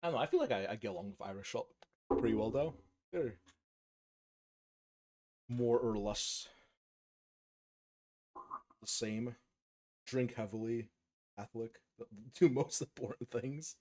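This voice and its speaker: A man's voice: medium pitch, measured pace, long pauses. A man with a slight Polish accent, chatting casually and rambling while painting miniatures on a live stream. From United States, raised speaking Polish. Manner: relaxed, rambling, many fillers and restarts.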